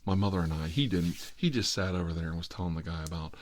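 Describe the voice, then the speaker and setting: Deep-voiced middle-aged American man rambling wryly. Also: fast talker, slight background noise. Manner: wry, sarcastic, exasperated. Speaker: ASMR storyteller. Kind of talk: rambling ASMR storytelling.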